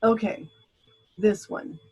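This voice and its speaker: A woman's voice: slow, mellow, muffled recording. A woman from Brazil teaching a class in a casual, chatty lecture style, with frequent ums and asides. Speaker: instructor.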